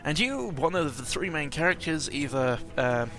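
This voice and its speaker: A man's voice: a little high, big pitch swings, moderate pace, a bit bright. A man giving a casual, jokey audio log about video games, rambling and interrupting himself with exaggerated emphasis. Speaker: video game vlogger. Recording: music playing behind the voice. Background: clear background game music.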